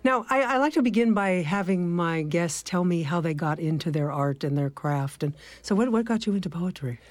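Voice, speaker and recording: A woman's voice: fairly low pitch, lively intonation, normal speed, dry recording. A woman hosting an interview show, introducing her guest and asking questions, often answering with short encouraging interjections. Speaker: host.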